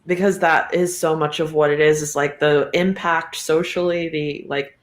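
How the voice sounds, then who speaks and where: A woman chatting casually as a podcast guest, wry and halting, recorded with a roomy echo. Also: sits low, fairly even intonation. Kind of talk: casual chat. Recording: roomy, echoey sound.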